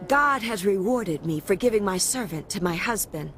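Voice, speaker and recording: A woman's voice: medium pitch, lively intonation, normal speed, a bit bright, soft background music, lightly acted. Woman acting a proud, yearning biblical mother. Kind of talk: audio Bible drama acting.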